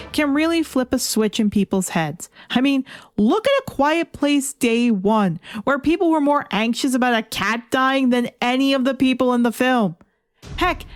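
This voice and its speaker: A woman hosting a review show, reading a scripted video essay with a dramatic, emphatic storytelling delivery. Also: a little high. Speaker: host of a comic review show.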